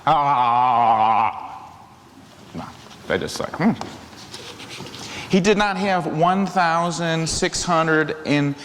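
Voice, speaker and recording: A man's voice: a little high, expressive, drawn-out words, pauses often, fairly bright tone. A middle-aged man reading a picture book aloud to children, playful, with chatty asides. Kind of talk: animated read-aloud story time with asides to children. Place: large church hall, seated among children. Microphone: lavalier clipped to shirt. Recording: reverberant hall, faint background noise.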